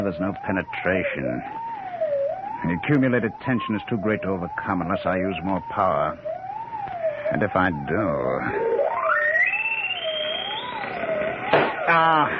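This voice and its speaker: An actor playing an absent-minded, excitable middle-aged professor with a musing, eager manner in an old-time radio drama. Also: male voice, lowish voice. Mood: frustrated muttering. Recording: noisy, muffled old radio recording.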